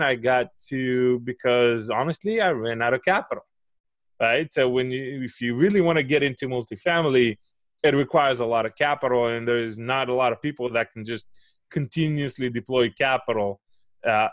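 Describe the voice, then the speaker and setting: A man's voice: mid-range voice, a bit bright, no echo. Israeli man explaining on a video call. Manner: explanatory, makes a point then asks "right?". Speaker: guest. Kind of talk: interview answers. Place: home office. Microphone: headset with studio mic on a video call.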